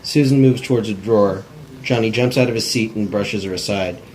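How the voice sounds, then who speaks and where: A man's voice: lowish voice, fairly quick, darkish tone, rough recording. A man reading stage directions in an amateur cold table read, plainly and with stumbles over words. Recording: faint background noise.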